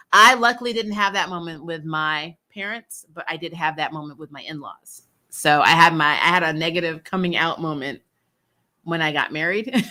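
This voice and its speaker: Blunt, bright-voiced woman reviewer. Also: low voice. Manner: blunt, unfiltered, swears freely. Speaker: host of a TV recap show, reviewer. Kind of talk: casual livestream chat answering viewer questions.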